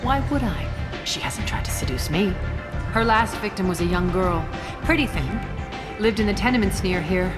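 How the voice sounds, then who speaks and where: An actress playing a cynical alien crime boss in a video game, sardonic and unruffled. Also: female voice, mid-range voice, lively intonation, normal speed, loud music behind the voice, natural voice.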